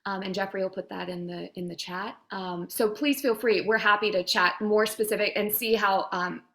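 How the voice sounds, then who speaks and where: Woman, medium pitch, bright tone. Friendly guest producer offering casual, accommodating advice. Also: fairly even intonation, normal speed.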